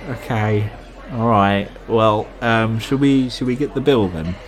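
An awkward, reluctant young man stuck on a date in an improvised radio play, acted by one performer. He speaks low and hesitantly, with a deadpan mumble and slow delivery. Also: faint music behind, close to an everyday voice.

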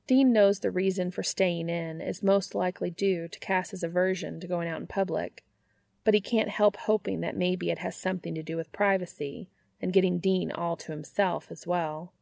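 A woman reading scripted fiction as an audiobook narrator, with expressive storytelling. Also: on the low side, normal speed, gravelly, no room sound, studio quality.

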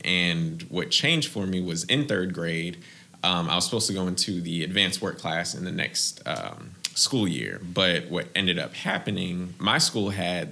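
A man from Boston, a podcast guest, telling his story in a measured, thoughtful way. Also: deep voice, gravelly, bright, forceful tone. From Boston, United States.

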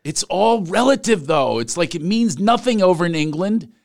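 An American man hosts a casual comedy interview with a very lively, swinging pitch. He tells stories in a joking, conversational way. The sound is dry and close, with hardly any room echo. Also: moderate pace, creaky. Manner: joking, animated storytelling. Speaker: podcast host.

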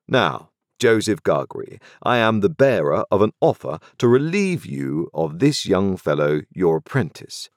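A man's voice: sits low, sing-song, a little slow, few pauses, no room sound, clean studio sound. A man voicing a brusque, overbearing middle-aged male lawyer in an audiobook, speaking in a clipped, formal, cross-examining way. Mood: stern, formal. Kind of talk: audiobook character voice.

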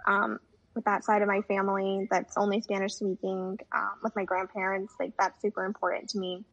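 A woman's voice: mid-range voice, little pitch movement, a little fast, husky. A young woman talking as a guest in a casual conversation, with a chatty manner full of fillers. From United States. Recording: dull lo-fi audio.

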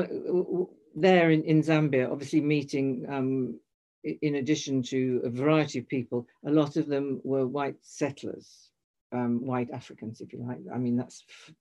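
A woman's voice: very deep voice, dark tone. An older woman telling a long personal story in conversation, hesitant, restarting and correcting herself often. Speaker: guest.

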